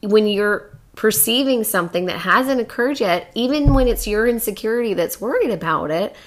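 A woman with a Chicago accent, co-hosting a podcast in casual chat, laughing often and getting animated. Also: mid-range voice. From born in Louisville, Kentucky. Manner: giggly, animated, quick to laugh. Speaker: podcast co-host.

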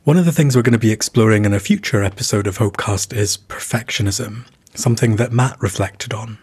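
Male podcast host, calm measured narration, husky low voice.

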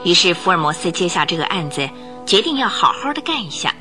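A woman narrating a radio detective drama in a dramatic, animated storytelling manner. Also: on the low side, fast talker. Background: music bed.

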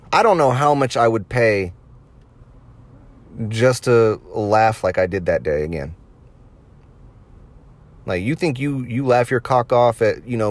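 A man's voice: mid-range voice, no echo. Casual, crude-talking male podcaster. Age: late 20s to early 30s. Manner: crude, swearing, amused by own stories. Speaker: podcast host. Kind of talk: casual anecdotal storytelling to listeners.

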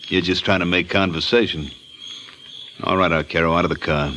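An actor playing a calm, sardonic hitman in a 1950s radio drama. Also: sits low, brisk. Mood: menacing. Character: cool menacing male hitman, 1950s gangster. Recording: old radio broadcast with hiss.

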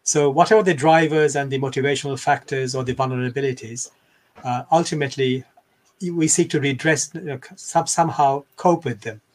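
Older man from Bangladesh, mid-pitched, explanatory. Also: steady tone, moderate pace. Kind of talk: reflective interview talk.

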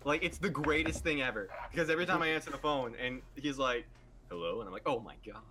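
A man's voice: medium pitch, fast talker. A young man telling brash stories in a casual podcast chat and cursing freely. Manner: crude, brash storytelling. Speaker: podcast co-host. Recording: clear crowd chatter behind.

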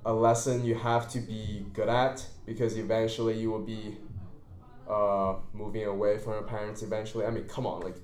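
A man's voice: fairly low pitch, level tone, moderate pace, a little mellow. Young man hosting a podcast in an echoey room. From China. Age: young adult. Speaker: podcast host, college student. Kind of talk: casual podcast chat. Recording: roomy echo.

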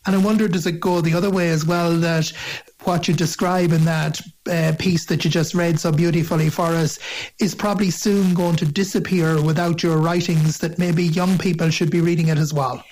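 Irish radio presenter, effusive and polite, steady flat intonation. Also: male voice, a little high, normal speed. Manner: effusive, formal courtesies. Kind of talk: radio interview.

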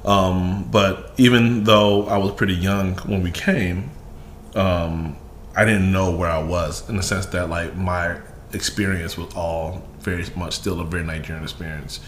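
Lively man with a low voice. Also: a little slow. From Chicago, raised. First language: Yoruba. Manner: animated, joking, with many fillers. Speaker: podcast guest. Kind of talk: casual chat. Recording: echoey room with faint noise.

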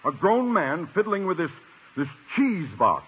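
An actor playing a pompous, scolding middle-aged school principal in a 1950s radio comedy. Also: male voice, high-pitched, very animated, measured pace. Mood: indignant. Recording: tinny, very noisy old radio recording.

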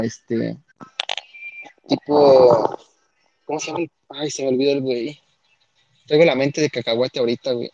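A man's voice: medium pitch, a little mellow, dry recording. A man with a Mexican accent streaming, chatting casually with viewers in slangy, profane speech. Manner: slangy, profane, reads and answers chat. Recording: dull lo-fi dry sound.

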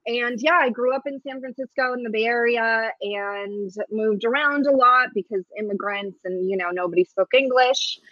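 A woman's voice: fairly high pitch, normal speed, few pauses, dry recording, dull audio. A woman comedian in her thirties, originally from Ukraine with Russian as her first language, chatting casually and joking as a podcast guest. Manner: joking, rambling, laughs often.

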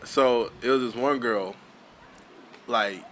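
A man's voice: medium pitch, slow pace, fairly bright tone. A young man tells a story on a casual podcast, laid-back and full of profanity, chuckling along. His voice sits mid-range, with a faint hiss of noise behind it. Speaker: podcast co-host.

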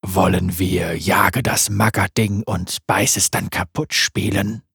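A hungry wolf spirit, playful and predatory, drawling in a deep, slow growl below the narrator's own pitch. His voice is husky and very dry, as a male reader puts him on. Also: fairly even intonation, fairly bright tone, no room sound, heavily acted.

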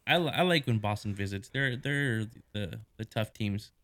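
A man's voice: lowish voice, expressive, normal speed, fairly bright tone. A man from California hosting a casual chat, easygoing and talkative, stumbling over restarts now and then. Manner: easygoing, chatty, stammers on restarts. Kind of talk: casual conversation.

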